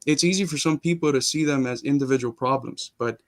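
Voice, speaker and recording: A man's voice: medium pitch, fairly quick. A young man, born in Cuba and raised in Miami, talking earnestly as a guest in a casual interview, with frequent restarts. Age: young adult.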